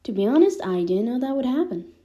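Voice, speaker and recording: A woman's voice: on the high side, sing-song, fast talker, warm tone, voice pushed up. A woman voicing a candid, irreverent teenage boy with ghostly powers in a fan fiction reading. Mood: groggy, hedging.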